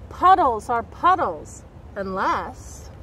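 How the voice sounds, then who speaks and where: A middle-aged woman reading a picture book aloud to young children in an expressive, carefully enunciated way, recorded outdoors. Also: on the high side, slow, rough recording. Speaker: storybook reader and nature educator. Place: outdoors by a woodland creek. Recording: outdoor background noise.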